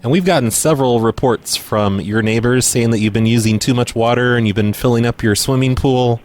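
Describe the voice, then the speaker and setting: A man's voice: mid-range voice, fairly quick, darkish tone. A man making a prank phone call as a host posing as a utility worker, stammering and accusing in a mock-serious way. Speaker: prank caller, show host.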